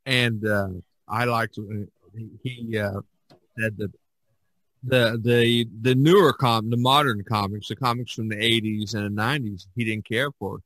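A man's voice: lowish voice, fairly even intonation, a little slow, fairly bright tone, dry recording, low-quality recording. Man chatting on podcast, dry close sound. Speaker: podcast host and artist. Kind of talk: casual podcast discussion.

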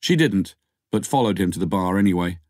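A man's voice: deep voice, animated, brisk, darkish tone, no room sound, clean studio sound. A man reading scripted fiction as an audiobook narrator.

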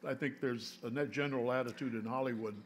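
An older man with a mid-range voice leads a stage conversation. He poses measured, searching questions, pausing and restarting as he shapes them, speaking from an indoor stage before a seated audience. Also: mid-range voice, steady tone, slight background noise. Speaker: interviewer. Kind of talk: onstage conversation.

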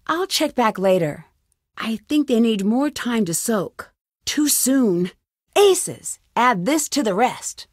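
A woman's voice: on the high side, no room sound. Inquisitive young female sleuth for an adventure game: earnest, plucky musing to herself, close dry sound. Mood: musing, wry, then pleased. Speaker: lead character in a detective adventure game. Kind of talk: scripted game dialogue, thinking aloud.

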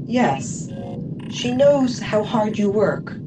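A woman's voice: medium pitch, expressive, drawn-out words, distant mic, music in the background, low-quality recording. A woman playing a serene, literal-minded artificial crewmate in a sci-fi audio drama, speaking formally and precisely. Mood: calm, reassuring.

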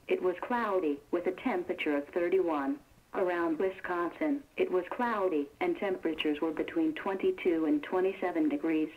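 A female text-to-speech voice reads out temperatures and conditions in a flat, mechanical cadence. Her pitch is a little low for a woman. The sound is thin and narrow, like a phone line carried over radio. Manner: flat, evenly paced, mechanical delivery. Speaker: weather radio announcer. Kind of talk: automated weather observation reading. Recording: tinny phone-like radio broadcast.

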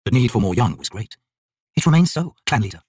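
Male reader as male shaman, storybook fantasy, thoughtful, grave, husky, expressive pitch. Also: a little fast, no room sound, clean studio sound, distant mic. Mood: solemn, reflective.

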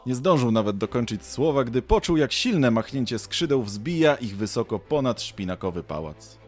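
A man's voice: medium pitch, big pitch swings, normal speed. A man narrating an audio drama as a storyteller, reading scripted prose. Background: faint music.

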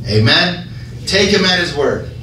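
A man preaching a sermon in a church, fervent and often shouting as the congregation answers him. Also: sits high, sing-song, measured pace. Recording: echoey church room, from far off, faint hum.

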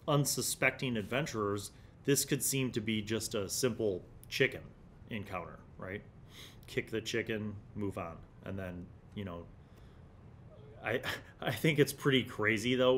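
A man's voice: on the low side, a bit bright, slight background noise. A man in his forties hosting a gaming review, explaining casually and reading passages aloud into a desktop microphone. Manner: conversational, explanatory. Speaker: host of a tabletop gaming review series. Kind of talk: casual commentary with passages read aloud from a magazine. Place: small indoor home studio. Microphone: large desktop condenser microphone.

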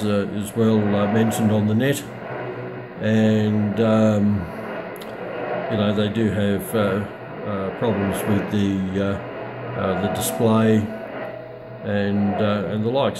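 A middle-aged man giving a casual, unscripted product demonstration in a halting, hesitant way with many pauses. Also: lowish voice. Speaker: radio hobbyist reviewer. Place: small room at home, indoors. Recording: noisy home recording with radio static. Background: shortwave radio static and broadcast.

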